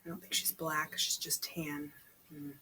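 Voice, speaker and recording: A woman's voice: deep voice, little pitch movement, a little slow, bright, forceful tone, slight background noise, low-quality recording. A woman reading a story aloud informally, breaking off for conversational asides to her listener. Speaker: amateur read-aloud reader. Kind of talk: reading a novel aloud with chatty personal asides.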